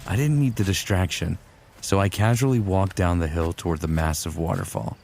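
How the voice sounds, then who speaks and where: A man's voice: deep voice, fairly quick, gravelly, a little mellow, a little hiss or hum. A man playing a smitten, teasing sci-fi warrior who narrates his own exploits in a fan audio drama, casual and wry. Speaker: narrator and lead character.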